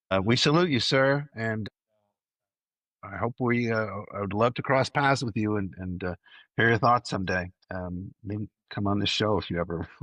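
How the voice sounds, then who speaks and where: A man's voice: on the low side, a bit bright, dry recording. A man hosting a casual fan discussion, effusive and emphatic, often repeating words for emphasis. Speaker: podcast host.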